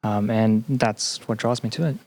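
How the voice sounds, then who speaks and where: A young man as a podcast guest, chatting reflectively in a halting, earnest way. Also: on the low side, darkish tone. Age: young adult. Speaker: podcast guest, student teaching assistant. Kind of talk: casual reflective chat.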